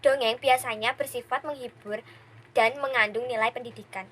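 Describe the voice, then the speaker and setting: A woman's voice: on the high side, steady tone, fairly quick, fairly bright tone. A young woman, a student presenter, giving a scripted explanation. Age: young adult.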